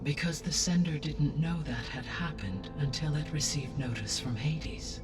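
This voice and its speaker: A calm, learned male AI from a video game voiced by an actor, set back from the microphone with music playing behind. He speaks formally and precisely, a benevolent guardian weighing every word. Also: on the high side, distant mic, natural voice. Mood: precise, matter-of-fact. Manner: measured, precise, formal diction. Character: calm, benevolent, erudite male artificial intelligence guarding life on Earth. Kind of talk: scripted video game dialogue.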